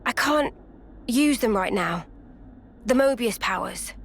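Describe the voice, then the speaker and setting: An earnest young warrior woman from a video game. Her high voice is thoughtful and sincere, with faint music underneath. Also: lively intonation, slow, heavily acted. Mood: hesitant, apologetic.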